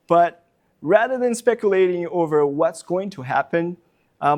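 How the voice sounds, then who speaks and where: Brazilian guy, professor doing a library talk, kinda high voice, lots of uhs and repeated words. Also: a little mellow. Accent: Brazilian Portuguese. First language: Portuguese. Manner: hesitant, many fillers and repeated words. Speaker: university professor giving a public talk. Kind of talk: public lecture. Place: public library talk room.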